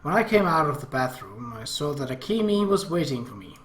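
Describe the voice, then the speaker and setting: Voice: medium pitch, sing-song, fairly quick, a bit bright. A game host reading narration aloud and adding casual asides. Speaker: host reading and reacting to a visual novel. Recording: echoey room.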